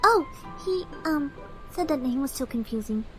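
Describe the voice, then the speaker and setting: A woman voicing a shy, gentle cartoon pony mare who speaks for animals, soft, polite and hesitant. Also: high voice, lively intonation, normal speed, faint music behind. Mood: timid, kind. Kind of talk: cartoon fan audio drama acting.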